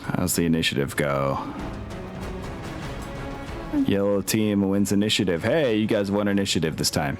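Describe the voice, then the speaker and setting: A man's voice: sits low, moderate pace, long pauses. A man hosting a tabletop game as game master, thinking aloud as he casually narrates and works through rules. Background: music.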